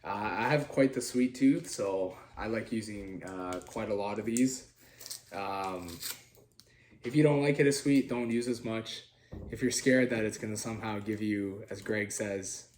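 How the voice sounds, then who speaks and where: A young man with a raspy, slightly nasal voice from being sick, talking quietly to the camera while cooking in his home kitchen. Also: fairly low pitch, a little hiss or hum. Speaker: cooking vlog host. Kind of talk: casual cooking demo. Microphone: camera on the counter.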